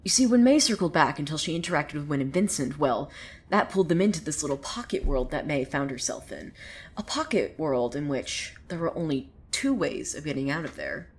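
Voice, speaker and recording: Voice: medium pitch, fairly quick, close to an everyday voice. A woman playing a wry, theatrical narrator of a surreal small town in a scripted audio drama, telling the tale dramatically. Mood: ominous. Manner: theatrical, swinging from hushed to excited. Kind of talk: dramatic scripted storytelling monologue.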